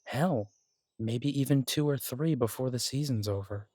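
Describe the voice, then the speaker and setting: A man voicing a protective male werewolf detective in an audio drama, speaking in a gentle, reassuring, unhurried way. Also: medium pitch, dry recording, clean studio sound, lightly acted. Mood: warm, chatty. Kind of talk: romantic audio drama roleplay.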